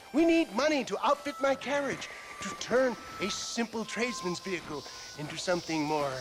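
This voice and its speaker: An actor playing a pompous, theatrical older swindler in a video game, talking in florid, flattering phrases. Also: male voice, sits high, noisy background. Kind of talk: video game cutscene acting.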